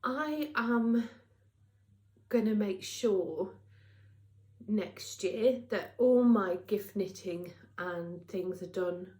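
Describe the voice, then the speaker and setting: A woman's voice: mid-range voice, drawn-out words, a little mellow. A middle-aged British woman with a congested, slightly nasal voice, chatting casually and ramblingly to camera as host of a knitting vlog. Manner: chatty, rambling, emphatic repetition, tag questions. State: congested from a cold. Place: indoors at a craft workplace. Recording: roomy, echoing indoor sound.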